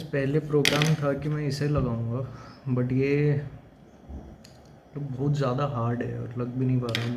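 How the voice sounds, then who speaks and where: Low-key Indian man, casual and even. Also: background noise. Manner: switches often between Hindi and English. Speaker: motovlogger and product reviewer. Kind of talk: casual product demo and installation walkthrough.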